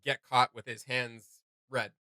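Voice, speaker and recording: A man's voice: medium pitch, flat delivery, slow pace, pushed and bright, no room sound. A man hosting a reaction video, chatting casually and thinking aloud in a hesitant way.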